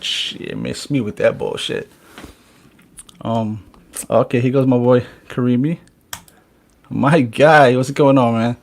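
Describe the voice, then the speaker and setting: A man's voice: mid-range voice, lively intonation, slow, gravelly, long pauses. A man hosting a casual podcast, talking bluntly and swearing freely. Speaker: podcast host.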